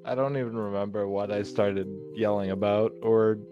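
Low-key male producer, deep voice. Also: steady tone, moderate pace, lo-fi sound, soft background music. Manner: laid-back, low-key replies. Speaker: podcast producer. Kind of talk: casual chat.

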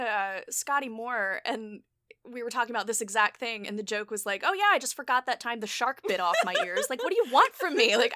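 A woman hosting a casual interview, hard of hearing herself, talking in an animated, expressive way with frequent laughter. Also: fairly high pitch, no room sound, clean studio sound. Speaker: podcast host and audio drama creator.

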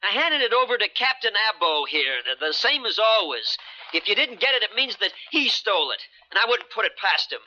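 An actor with an American accent playing a brash, slippery smuggler in a 1970s radio drama, protesting glibly with stammers. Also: male voice, sits high, sing-song, fairly quick, sharp tone, slight background noise, full character voice. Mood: indignant alarm. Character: brash, slippery American boat-owning smuggler, indignant under pressure. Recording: muffled old radio broadcast recording.

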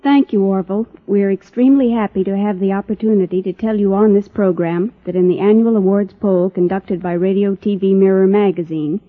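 A woman's voice: mid-range voice, steady tone, fairly quick, few pauses. A woman presenting an award in a scripted, formal 1950s radio style. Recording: tinny, narrow-band vintage radio broadcast.

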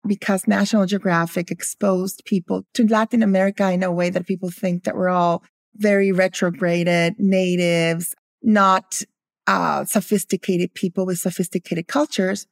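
A woman's voice: mid-range voice, steady tone, unhurried, gravelly, no echo, clean studio sound. A middle-aged or older woman from Guatemala, speaking as an interview guest and telling stories about her life and work. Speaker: guest, food historian and cookbook author. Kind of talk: interview storytelling.

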